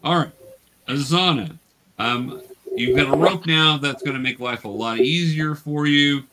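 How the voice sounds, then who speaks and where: A man's voice: on the high side, noisy background. A man patiently guiding a tabletop role-playing game as game master, encouraging players and often restarting his phrases. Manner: encouraging, patient, halting with self-repeats.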